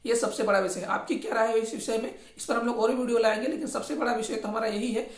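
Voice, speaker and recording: A woman's voice: on the high side, fairly even intonation, quick pace. Echoey woman news commentator. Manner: opinionated, insistent, repeats points. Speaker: news channel presenter. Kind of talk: informal explanatory news commentary to viewers. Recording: echoey room.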